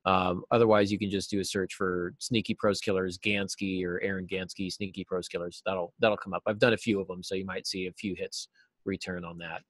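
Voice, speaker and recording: Middle-aged American male guest, lower register, explains things informally and haltingly, little room sound. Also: level tone, no room sound, low-quality recording. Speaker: interview guest, novelist and writing teacher. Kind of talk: casual interview answers. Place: small cluttered office, on a video call. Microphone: video call.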